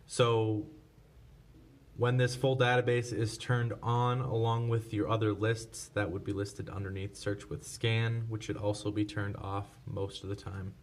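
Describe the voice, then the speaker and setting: Low-voiced man, casual tutorial, noisy background. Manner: informal, unscripted step-by-step explaining. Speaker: presenter of product tutorials. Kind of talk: casual hands-on tutorial narration. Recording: clear background noise.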